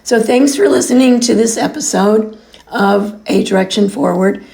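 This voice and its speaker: Raspy-voiced woman podcaster, hoarse. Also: medium pitch, moderate pace, mellow. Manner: alternates reading a list with rambling asides. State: hoarse from illness. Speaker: podcast host. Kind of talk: reading and personal commentary.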